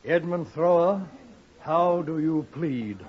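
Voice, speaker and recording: An actor playing an authoritative, probing magistrate with a terse, formal, commanding manner in a 1950s radio drama. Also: male voice, on the high side, slow. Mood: stern, commanding. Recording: muffled, noisy old radio recording.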